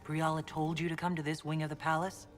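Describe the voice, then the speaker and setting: Man acting inquisition leader, slightly high male voice, cool, decisive, direct, game cutscene, prominent music. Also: completely flat delivery, fast talker, natural voice.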